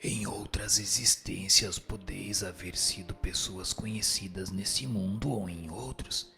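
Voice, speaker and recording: Solemn spirit instructor for radio drama, male actor: low, gravelly, didactic and declamatory. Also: measured pace, sharp tone, heavily acted. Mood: solemn, instructive. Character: solemn spirit instructor answering questions patiently. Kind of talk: scripted radio drama dialogue. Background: music.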